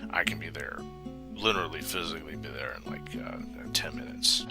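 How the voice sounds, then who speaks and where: A man's voice: rumbling low, a little fast, music in the background. An actor playing a creepy, flirtatious male customer service agent who comes on to a caller. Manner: smarmy, pushy flirting with a caller. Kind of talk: comedy film acting.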